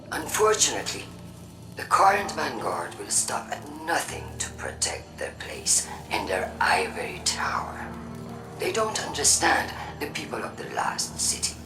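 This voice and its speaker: An actress playing a cold, imperious android faction leader giving a measured, accusatory address in a video game, with a low, breathy voice. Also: female voice, exaggerated voice. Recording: echoing address with music underneath. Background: music.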